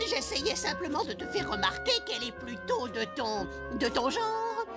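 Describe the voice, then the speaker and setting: A woman voicing a chirpy, teasing little jungle animal in a dubbed cartoon, excitable and coaxing, with music underneath. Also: high voice, moderate pace.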